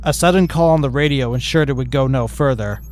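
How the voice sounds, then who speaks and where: Male narrator, fan fiction reading, matter-of-fact, mid pitch. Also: brisk, darkish tone, slight background noise.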